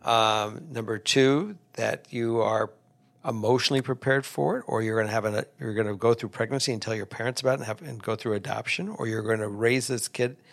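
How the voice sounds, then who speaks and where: A man co-hosting an advice podcast, talking casually with halting restarts and emphatic rises. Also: mid-range voice, gravelly, a bit bright. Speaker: podcast host giving advice.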